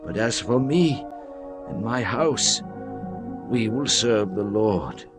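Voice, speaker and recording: Joshua, solemn commanding leader. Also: male voice, on the low side, expressive, slow pace. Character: Joshua, aged leader addressing the tribes. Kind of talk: dramatized scripture reading. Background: music.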